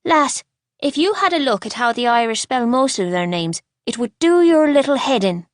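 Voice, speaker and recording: A woman voicing a defensive young Irish boy in an audiobook, with a gruff, scornful manner. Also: on the high side, very animated, a little slow, dry recording, studio quality.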